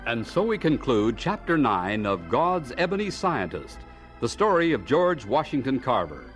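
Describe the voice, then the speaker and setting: Man, radio announcer, formal scripted delivery, expressive intonation, organ music behind. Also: mid-range voice, normal speed.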